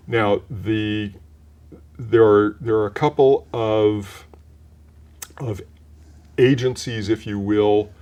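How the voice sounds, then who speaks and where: An older man, a retired professional turned voice actor, with a mellow voice, answering interview questions in a measured, explanatory way with frequent restarts. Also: fairly low pitch, steady tone, lo-fi sound. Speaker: voice actor guest.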